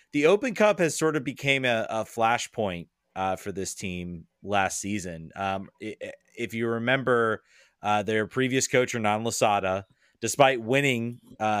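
Hesitant low-voiced man, dry sound. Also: fairly bright tone, smooth, no room sound. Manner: hesitant, repeats words while thinking aloud. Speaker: podcast guest. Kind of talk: casual chat.

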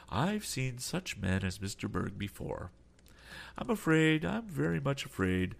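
A man voicing a calm, polite older sea captain who keeps adding apologetic asides. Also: medium pitch, gravelly, voice pushed up, full character voice.

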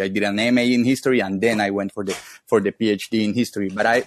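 Halting low-voiced man from northern Colombia. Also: slight background noise. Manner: halting, many repeated words and restarts. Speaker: historian, author and guest. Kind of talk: academic interview.

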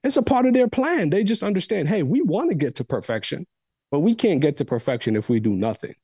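A man's voice: high-pitched, sing-song, fairly quick, dark tone, slightly rough, no echo, studio quality. A man whose first language is Arabic, giving an emphatic motivational talk as a podcast guest, repeating phrases for stress.

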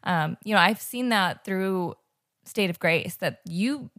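A woman's voice: mid-range voice, takes it slowly, fairly bright tone, no room sound, clean studio sound. A woman hosting a casual interview, asking rambling questions full of fillers and backchannels. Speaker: podcast host.

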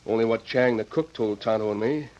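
An actor playing an earnest, loyal cowboy with folksy, plainspoken Western talk in an old-time radio drama. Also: male voice, lowish voice, a little fast, darkish tone, close to an everyday voice. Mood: rueful, earnest. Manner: folksy cowboy drawl and slang. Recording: muffled vintage radio recording.

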